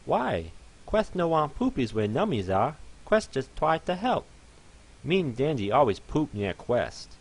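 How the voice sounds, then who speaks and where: An eager little female pet creature voiced by a man chirps in third-person baby talk. Her voice sits well above the performer's usual pitch and bounces brightly from word to word. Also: sing-song, unhurried, breathy edge, full character voice.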